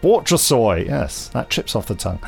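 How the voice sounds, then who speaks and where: Guy podcast host, gravelly fry, rambling and wry, music underneath. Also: medium pitch, very animated, moderate pace, a little mellow. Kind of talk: casual podcast chat with readings.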